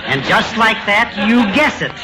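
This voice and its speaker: A man's voice: sits high, sing-song, measured pace, loud music behind the voice. A man playing a pompous doorman in old radio comedy, with ornate, mock-admiring sarcasm. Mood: withering sarcasm. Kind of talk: 1940s radio comedy acting. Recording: noisy old radio broadcast recording.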